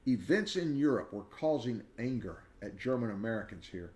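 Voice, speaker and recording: A man reading a children's book aloud in an expressive storytelling style. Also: mid-range voice, normal speed.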